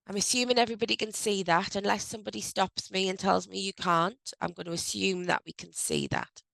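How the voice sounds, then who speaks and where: Woman, croaky from a cold. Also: mid-range voice, fairly even intonation, a little fast, fairly bright tone, no echo, low-quality recording. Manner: loose and conversational, with frequent ums and self-corrections. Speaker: HR advisor hosting an online briefing. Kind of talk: informal presentation on a video call. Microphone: video call microphone.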